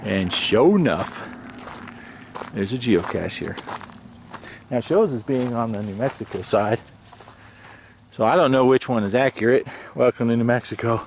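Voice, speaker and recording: A man's voice: mid-range voice, lively intonation, moderate pace, long pauses. A middle-aged American man chatting informally and explaining things as a vlogger, recorded on a handheld or car camera. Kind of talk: casual geocaching vlog narration. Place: car interior and open roadside outdoors. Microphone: handheld camera and dash cam. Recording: noisy outdoor and in-car camera audio.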